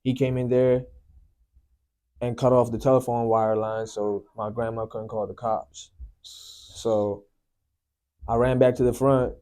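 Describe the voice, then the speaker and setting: A young man from Sri Lanka, a guest telling his life story in a soft, unhurried way, often filling with "you know, man". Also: mid-range voice, little pitch movement, smooth. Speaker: guest sharing testimony. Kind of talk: personal testimony, casual storytelling.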